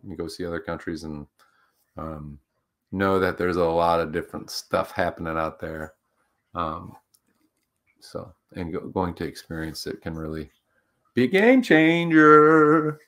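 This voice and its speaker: A man's voice: deep voice, unhurried, a bit bright. A man co-hosting a livestream talk show, chatting casually and rambling with lots of fillers. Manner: rambling, filler-heavy, upbeat. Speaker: co-host.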